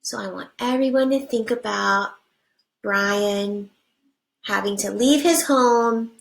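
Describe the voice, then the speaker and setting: A woman teacher reading a picture book aloud to young children, with simple deliberate phrasing and hushed asides. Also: medium pitch, gravelly, rough recording. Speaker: first grade teacher.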